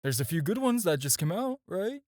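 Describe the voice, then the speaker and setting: A man's voice: high-pitched, very animated, a little fast, darkish tone, no echo, clean studio sound. A man acting a flirty, self-assured supervillain in an audio roleplay, talking to the listener in a teasing, casual, conversational way. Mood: flirtatious. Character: flirty, smooth, self-assured male supervillain courting his tailor.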